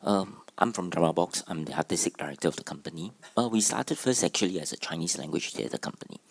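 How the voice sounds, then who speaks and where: A man's voice: deep voice, fast, vocal fry, dry recording. A man with a Singaporean accent, Cantonese his first language, giving a hesitant conference panel talk as a theatre artistic director. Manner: hesitant, with many fillers. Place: conference room.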